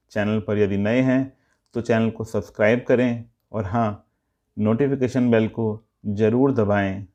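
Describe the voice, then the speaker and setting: Male storyteller, lowish pitch, measured phrasing for scripted moral tales, slightly dull recording. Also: warm tone. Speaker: storyteller and channel narrator.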